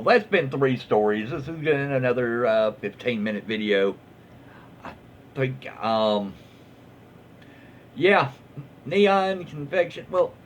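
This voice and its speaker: A man with a Southern American accent casually reading online stories aloud, folksy and informal, stumbling over words and adding off-the-cuff commentary. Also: fairly high pitch. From southern United States. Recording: muffled lo-fi audio, faint background noise.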